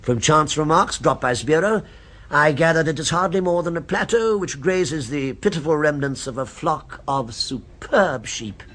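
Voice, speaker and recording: In a vintage radio drama, a refined gourmet with a fixation on one restaurant. He sounds precise and insinuating, with a lively, rising and falling delivery and a slightly husky edge. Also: on the high side, normal speed. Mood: knowing, earnest. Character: refined man obsessed with a restaurant. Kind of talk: 1970s radio drama acting. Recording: old radio broadcast recording.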